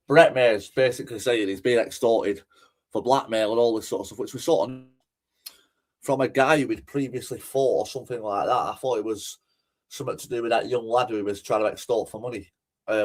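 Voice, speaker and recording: A man's voice: mid-range voice, darkish tone. A man giving casual, rambling livestream commentary in a chatty, informal way. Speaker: streamer and host.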